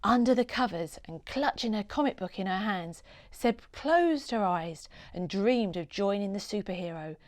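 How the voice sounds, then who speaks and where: A woman narrating a children's storybook in an expressive, dramatic read-aloud style with deliberate phrasing. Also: mid-range voice, dry recording, studio quality.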